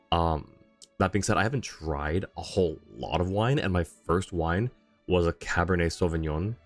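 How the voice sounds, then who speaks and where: A man streaming a video game, giving casual commentary and chatting with viewers in a friendly, informal way. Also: low voice. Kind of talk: casual chat and live commentary. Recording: game music behind the voice.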